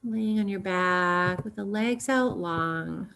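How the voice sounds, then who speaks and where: A woman teaching yoga nidra, guiding a relaxation meditation in a gentle, soothing, unhurried way with long pauses. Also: medium pitch, creaky, clean tone.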